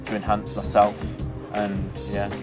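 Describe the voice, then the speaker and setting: A young man with a fairly low voice, chatting in a loose, rambling way. He sounds a little distant from the mic. Faint music plays somewhere behind him outdoors. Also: completely flat delivery, measured pace. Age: young adult. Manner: easygoing, rambling, many fillers. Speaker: student radio presenter. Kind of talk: casual interview talk. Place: outdoors in a city.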